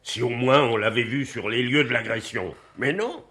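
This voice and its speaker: A man's voice: mid-range voice, moderate pace, bright, forceful tone, natural voice. A man playing a sceptical, stubborn police inspector in a French radio mystery, dismissive and argumentative. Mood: exasperated.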